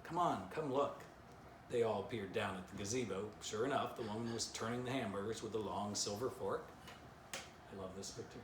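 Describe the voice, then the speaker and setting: A man's voice: fairly low pitch, steady tone, a little fast. A middle-aged man, a children's author, reading a story and giving an informal drawing lesson. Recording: roomy echo, clear background noise.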